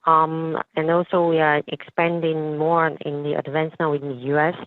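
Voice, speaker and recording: A woman, a financial analyst, asking questions politely and haltingly on an earnings call over the phone. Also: sits low, level tone, no room sound. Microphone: telephone. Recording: dry, narrow phone-line sound.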